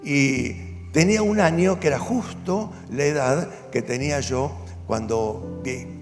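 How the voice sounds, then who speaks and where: A man's voice: a little high, a little slow. Older man, a pastor in his 70s. Earnest testimony delivered to a congregation, with long hall reverb and music under it. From Argentina. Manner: earnest storytelling, rising to firm conviction. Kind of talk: personal testimony at a church event.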